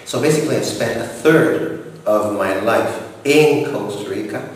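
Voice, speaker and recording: A man's voice: medium pitch, slight background noise. French-Canadian man, distant and echoey. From Montreal, Canada. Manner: formal, measured, frequent hesitations. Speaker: director of operations. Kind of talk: interview answers. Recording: echoey room, voice from off-mic with faint ambience.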